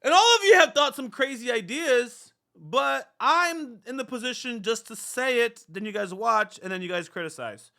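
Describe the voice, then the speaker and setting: A man's voice: very high-pitched, expressive, moderate pace, gravelly, hardly any pauses, sharp tone. A man hosting a reaction channel, talking casually to viewers in a rambling, self-deprecating way with many fillers. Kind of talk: casual talk to camera.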